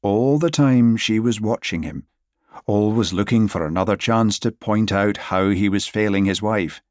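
A man's voice: lowish voice, normal speed, a little mellow, dry recording, clean studio sound. A man reading a novel aloud as scripted audiobook narration.

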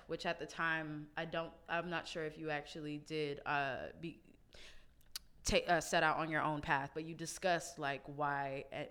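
Woman, podcast host, low pitch, crisp clear voice, relaxed and swears a lot. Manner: laid-back, swears casually, laughs often. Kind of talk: casual chat.

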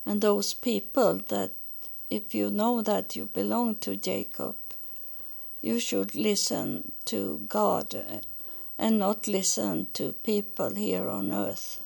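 A woman from Sweden with a Swedish accent, speaking English as a second language in a halting spiritual podcast monologue, searching for words. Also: mid-range voice, gravelly, darkish tone. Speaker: podcast host relaying spiritual messages.

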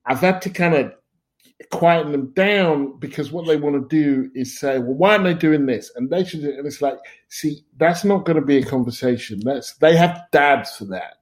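A man's voice: on the high side, normal speed. A man in his fifties, a podcast guest, speaking thoughtfully with hesitant restarts. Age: 50s. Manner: thoughtful, hesitant restarts, soft murmured agreement. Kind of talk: casual conversation.

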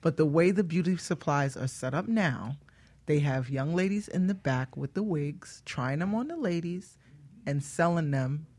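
A woman's voice: low voice, lively intonation, moderate pace, a little mellow, no room sound. A woman talking casually on a talk show in an emphatic, colloquial way, often checking that listeners follow. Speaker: guest hair stylist.

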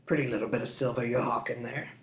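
A man's voice: medium pitch, steady tone, quick pace, lightly acted. A man voicing a harsh, scarred thief who is menacing but cowardly, with a sneering drawl, in a roomy room. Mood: sneering menace. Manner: sneering, rough-edged drawl. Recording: roomy echo.

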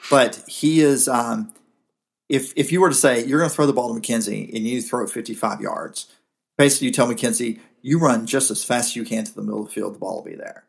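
A Southern-accented man co-hosting a relaxed sports chat at an ordinary pitch, circling his points and often starting sentences over. He talks loosely and conversationally, drifting from one opinion to the next. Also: brisk, gravelly. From South Georgia. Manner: rambling, frequent restarts. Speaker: co-host. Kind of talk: casual sports talk.

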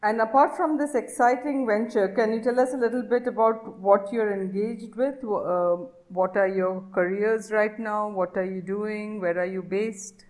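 A woman's voice: medium pitch, fairly even intonation, normal speed, few pauses, darkish tone. A woman hosting an interview, asking questions hesitantly with many uhs. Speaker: interviewer, host.

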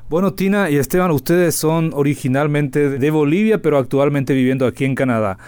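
A man's voice: fairly high pitch, fairly quick, a little mellow, clean tone, no echo. A man hosting a radio programme, presenting and interviewing guests. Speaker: host.

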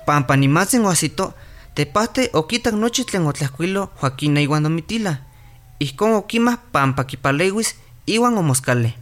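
An actor playing a calm clinic doctor in a radio drama, explaining and reassuring. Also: medium pitch, normal speed, lightly acted. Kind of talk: radio drama narration and acting. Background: music.

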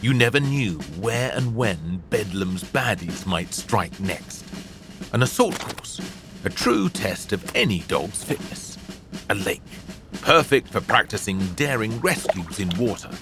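A man narrating a children's adventure audiobook in an expressive, theatrical way into a studio microphone. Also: fairly low pitch, unhurried, over music. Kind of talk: scripted storybook narration.

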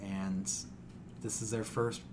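A man hosting a podcast, chatting casually about gaming in an animated, profane, conversational way. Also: fairly low pitch, takes it slowly, slight background noise. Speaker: podcast host.

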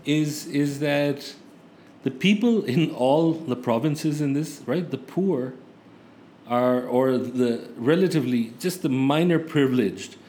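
A man's voice: medium pitch, darkish tone. A man in a casual political discussion, earnest and thinking aloud, often restarting his sentences. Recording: faint background noise.

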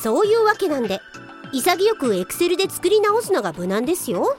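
A woman playing a hot-tempered, sarcastic female police officer in a comedic radio drama, exasperated and rough-tongued. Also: extremely high pitch, sing-song, normal speed, a little mellow, faint music behind. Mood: dry, exasperated.

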